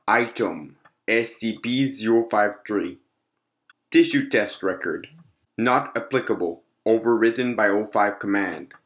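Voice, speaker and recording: Man reading a formal scripted log. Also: mid-range voice. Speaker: narrator. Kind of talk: scripted narration of fictional case files.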